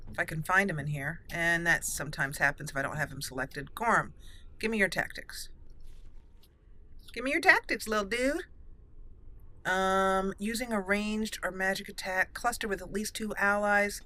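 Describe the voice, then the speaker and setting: A woman's voice: medium pitch, normal speed, pushed and bright. A woman giving casual, chatty game commentary as channel host, playfully thinking aloud. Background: game music.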